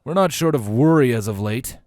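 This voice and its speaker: A man voicing a gruff, deadpan stallion captain with a dryly mocking manner in a storybook reading. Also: medium pitch, a little fast, no echo, clean studio sound, pitched above the performer's own voice, natural voice.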